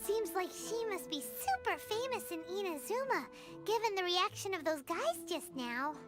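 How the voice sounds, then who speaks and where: Squeaky, extremely high-pitched tiny fairy. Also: female voice, expressive, moderate pace. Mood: impressed, puzzled. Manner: inquisitive, exclamatory. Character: tiny childlike fairy companion, perky and inquisitive. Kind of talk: video game voice acting. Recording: game dialogue over music.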